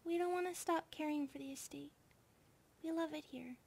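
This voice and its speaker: Excitable little centipede maid for ASMR roleplay: tiny, very high girlish voice, eager and soft. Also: normal speed, gravelly. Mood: earnest.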